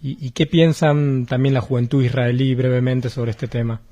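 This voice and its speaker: Mellow-voiced male radio host. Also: mid-range voice, flat delivery, normal speed, highs cut off. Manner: polite, formal. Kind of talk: radio interview questions.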